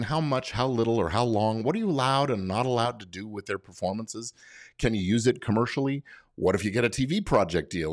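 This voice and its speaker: A man with an American accent speaks in a lowish voice that rises and falls with lots of movement. He explains things as a podcast host would, conversationally and with emphasis, often posing questions to the listener. The sound is very dry, with almost no room in it. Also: darkish tone, dry recording. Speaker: podcast host and lawyer giving advice. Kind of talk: explanatory solo podcast monologue.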